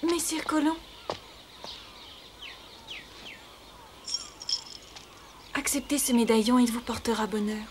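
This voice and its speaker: A woman's voice: fairly high pitch, a little fast, a little mellow, slight background noise, natural voice. A woman playing a gracious, concerned noblewoman in a cartoon, speaking formally and courteously. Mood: gracious, warm. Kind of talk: French animated series dubbing.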